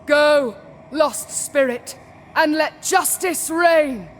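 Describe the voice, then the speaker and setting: Proud holy warrior woman, high voice, slow solemn delivery, commanding, fantasy game. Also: big-room sound, slight background noise. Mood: righteous, commanding. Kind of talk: dramatic fantasy game acting.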